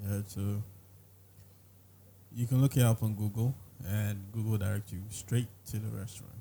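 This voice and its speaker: A man's voice: lowish voice, fairly even intonation, moderate pace, warm tone, slight background noise. A man from Ghana, hosting a podcast in a laid-back chat and echoing his co-host's words. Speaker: podcast host. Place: restaurant.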